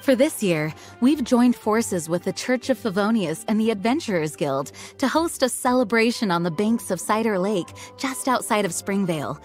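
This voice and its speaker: Casting: woman as dutiful young knight captain, anime game; formal, measured, earnest, medium pitch. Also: lively intonation, faint music behind, close to an everyday voice. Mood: courteous, informative. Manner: composed, formal, measured explaining. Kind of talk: anime video game voice acting.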